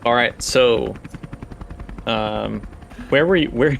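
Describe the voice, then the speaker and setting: A man's voice: mid-range voice, slow, vocal fry, a little hiss or hum. A man running a tabletop game as game master, narrating off the cuff in a conversational, somewhat hesitant way.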